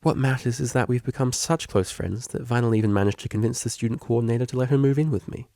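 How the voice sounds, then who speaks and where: A man's voice: mid-range voice, quick pace, dark tone, no room sound, clean studio sound, close to an everyday voice. A man voicing a prim, guarded young female pony student with a formal, hesitant manner in a story reading. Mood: hesitant, confessing.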